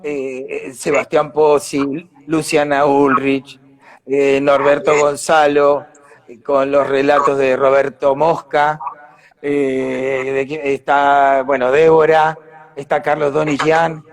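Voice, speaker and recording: A man's voice: medium pitch, little pitch movement, slow pace. An Argentine man, an actor being interviewed, talking casually and reflectively as he explains things and searches for words. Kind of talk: casual live conversation.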